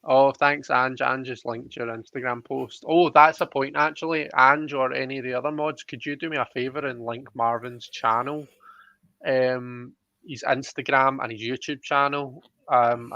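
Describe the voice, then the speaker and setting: A man's voice: medium pitch, fairly even intonation, moderate pace, bright, forceful tone. A man hosting a casual live stream, reading out viewer comments, in a dull recording with room echo. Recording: dull lo-fi sound, roomy echo.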